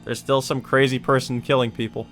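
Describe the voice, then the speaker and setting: A man's voice: mid-range voice, level tone, a little fast, a bit bright, faint music behind. A man hosting a let's play, reading a visual novel aloud and adding casual asides.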